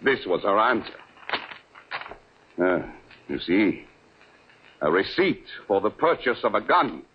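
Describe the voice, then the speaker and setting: An actor playing a distraught, henpecked middle-aged doctor in an old radio drama, with an agitated, halting and formal way of speaking. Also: male voice, fairly low pitch, very animated, breathy edge, full character voice. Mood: troubled, earnest. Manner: agitated, halting, formal, foreign-sounding word order. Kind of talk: 1940s radio drama acting. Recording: muffled old radio recording.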